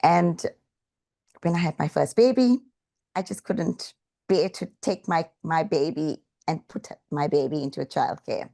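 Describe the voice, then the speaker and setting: A woman's voice: low voice, unhurried, vocal fry, warm tone. A woman from South Africa, a guest on a podcast, earnestly telling her story in an interview. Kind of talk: interview answers.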